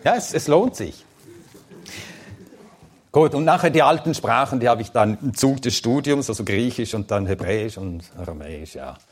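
A man's voice: medium pitch, lively intonation, normal speed, breathy edge. A man whose first language is Swedish, teaching a Bible seminar and answering audience questions in a thoughtful, plain-spoken way with some hesitant fillers.

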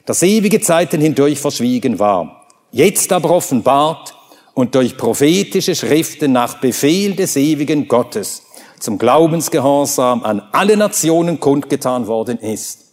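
Emphatic Swedish-native preacher, reverberant hall. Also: male voice, lively intonation, measured pace, a little mellow. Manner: emphatic, rising to high ringing exclamations. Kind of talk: sermon with Bible reading. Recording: long reverb of a large hall.

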